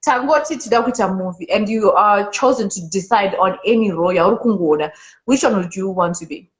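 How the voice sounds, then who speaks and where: A woman's voice: mid-range voice, fairly quick, poor audio. A woman hosting a casual interview, playful and teasing, laughing often. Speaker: host.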